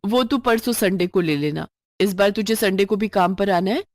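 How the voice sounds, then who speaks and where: A woman plays a well-off madam of the house in a storybook tale. She is bossy and demanding, with a brisk, commanding manner and a dry sound. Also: mid-range voice, darkish tone, no room sound, natural voice. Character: well-off office-going female employer, bossy and demanding. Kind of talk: moral storybook voice acting.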